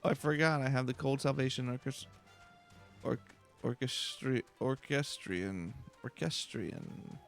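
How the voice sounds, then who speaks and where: A man giving calm, casual commentary as he streams a game let's play. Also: medium pitch, a little slow, vocal fry, faint music behind.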